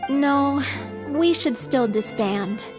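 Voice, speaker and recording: A frail young female performer in an anime game, speaking in a high, gentle, earnest voice with a stubborn streak. Long reverb trails the words, with faint music behind. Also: animated, very slow, heavily acted. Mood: wistful. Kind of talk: anime video game acting.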